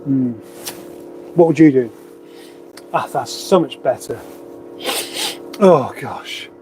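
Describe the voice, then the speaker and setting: A man's voice: mid-range voice, animated, takes it slowly, noisy background. A man with a runny nose, hosting a casual livestream, rambling chattily with wry asides as he reads out comments. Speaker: livestream host. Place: garage.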